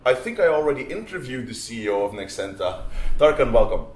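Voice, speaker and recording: A man hosting a casual interview, relaxed and a bit hesitant, often pausing on "um". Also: mid-range voice. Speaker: interviewer and host. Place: large busy convention hall. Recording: echoey hall with faint ambience.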